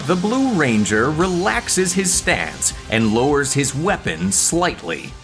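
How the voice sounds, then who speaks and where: A male narrator reads a scripted action drama with very lively, varied pitch. Music plays clearly behind his voice. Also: takes it slowly.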